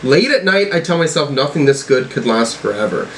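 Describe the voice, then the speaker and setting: A man giving casual reaction commentary as a music review host, rambling and halting as he thinks aloud. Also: mid-range voice, very animated, fairly bright tone, slight background noise, poor audio.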